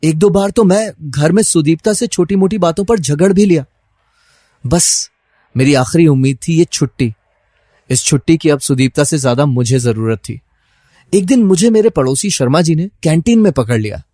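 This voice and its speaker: A man's voice: a little high, expressive, normal speed, warm tone, dry recording, clean studio sound. A man acting as a troubled, suspicious husband who narrates his own story in a dramatized first-person style.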